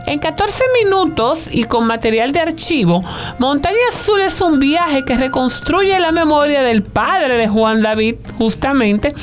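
A woman presenting film news in a chatty, conversational way, adding jokey asides. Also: sits high. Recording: music bed underneath.

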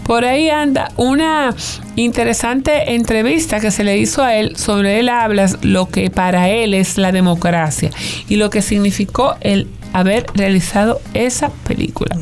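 A woman's voice: mid-range voice, moderate pace. A woman presenting film news in a chatty, conversational way, adding jokey asides. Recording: music bed underneath.

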